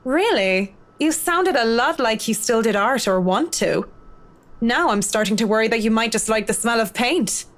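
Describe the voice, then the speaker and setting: A woman's voice: on the high side, animated, normal speed, lightly acted. A woman voicing a blunt, self-assured interior designer in a game, speaking in a dry, lecturing way. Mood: cutting. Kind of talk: video game voice acting.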